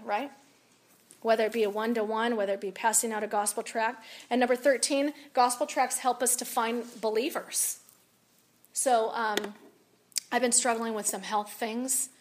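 A woman with a raspy voice giving an animated, confiding storytelling talk to a women's audience. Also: mid-range voice. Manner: animated, confiding, rises and drops a lot. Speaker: speaker at a women's event.

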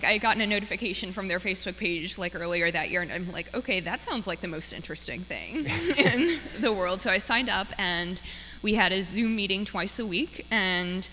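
A woman's voice: medium pitch, sharp tone. A woman in her twenties from small-town Virginia, sharing a personal testimony in an earnest, conversational way. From small-town Virginia, United States. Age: 20s. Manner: earnest, conversational, frequent 'like'. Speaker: seminary student sharing a testimony. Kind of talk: personal testimony, informal spoken. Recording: echoey hall with clear hum.

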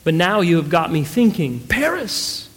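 Guy reading as a worn-out middle-aged storybook wife, plain-spoken n upset, high voice, big echoey room. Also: very animated, a little slow, full character voice. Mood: tearful, upset. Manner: wailing, exclaiming, plain folksy phrasing. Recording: echoey hall with long reverb.

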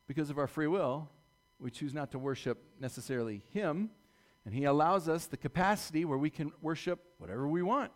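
Slightly scratchy, higher-pitched male preacher. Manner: informal and discursive, often checking in with "right?". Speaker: church preacher. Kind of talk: conversational sermon to a congregation.

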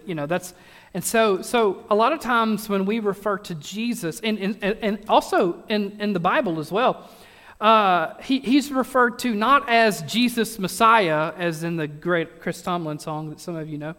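Guy pastor with a high voice, joking around mid sermon, lots of echo in a big church. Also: a bit bright. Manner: chatty, self-deprecating humor, frequent restarts. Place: church sanctuary. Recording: long hall reverb.

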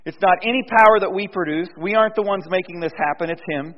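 A man preaching a sermon in a conversational way, dropping soft and low and then rising loud and emphatic. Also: quick pace. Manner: conversational, with restarts and fillers. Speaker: pastor. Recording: dull sound with long hall reverb.

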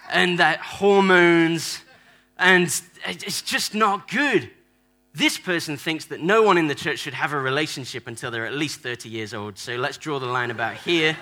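Bright-voiced animated preacher man. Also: fairly high pitch. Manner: animated, playful, acts out church members. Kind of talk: sermon with audience props. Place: church hall.

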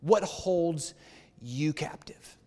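A male pastor with a notably high voice preaches in a church whose long reverb trails after his words. He is emphatic and conversational, hammering key phrases through repetition and tossing quick asides to the congregation. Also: warm tone. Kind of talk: sermon. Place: church sanctuary.

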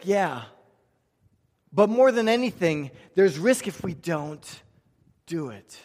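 A male pastor preaching a church sermon in an emphatic, conversational way, with rhetorical questions and repeated phrases. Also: high-pitched. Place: large room, preaching to a congregation. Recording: reverberant hall sound.

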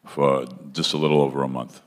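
A forty-year-old man from Massachusetts haltingly introducing himself to a congregation. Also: a bass voice, flat delivery. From Lawrence, Massachusetts. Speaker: recovery program participant. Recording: echoey hall, noisy crowd, clicks.

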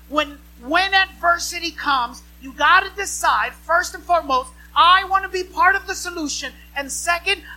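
A woman's voice: high-pitched, sharp tone, poor audio. A woman in her mid-thirties preaching a sermon to a congregation, with emphatic repeated phrases and rhetorical questions.

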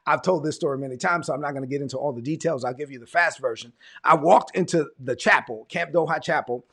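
A middle-aged American man giving an informal, conversational sermon of personal testimony, telling it like an animated story and repeating phrases for emphasis. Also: on the high side, fast talker, gravelly. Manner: animated storytelling, acts out quoted dialogue, conversational.

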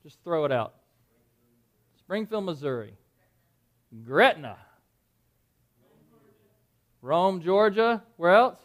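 A man's voice: high-pitched, fairly bright tone. A man preaching an interactive sermon to a live audience in a dim room, talking conversationally, quizzing listeners and often repeating words. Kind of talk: interactive Bible-study sermon.

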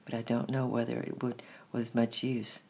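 Quiet sad little boy in a storybook, read by the narrator, husky and gravelly. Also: medium pitch, completely flat delivery, moderate pace. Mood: doubtful. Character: serious, troubled young boy.

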